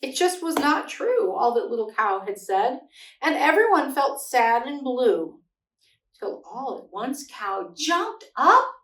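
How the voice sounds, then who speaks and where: High-voiced, slow-paced woman reading to children. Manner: expressive, simple explanatory phrasing for children. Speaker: children's read-aloud host. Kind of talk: picture book read-aloud and gentle talk to young children. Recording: echoey room, distant microphone.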